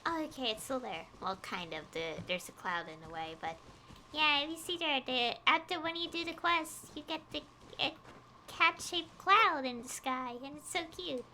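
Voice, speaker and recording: A woman's voice: high-pitched, expressive, normal speed, pushed and bright, a little hiss or hum. A woman game streamer chatting casually on a livestream in a rambling, conversational way. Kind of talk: casual livestream chat over gameplay.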